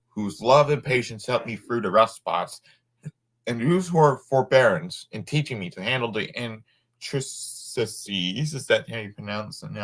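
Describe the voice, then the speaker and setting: A man reading a nonfiction book aloud informally, sometimes halting over words and adding asides. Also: lowish voice, fairly bright tone.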